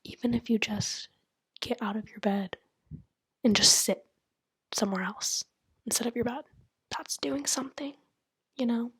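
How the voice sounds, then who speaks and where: A woman's voice: a little high, a little slow, pauses often, fairly bright tone, no room sound. A young woman whispering a candid, rambling monologue to the camera, full of "like" and "you know". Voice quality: breathy whisper. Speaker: vlogger.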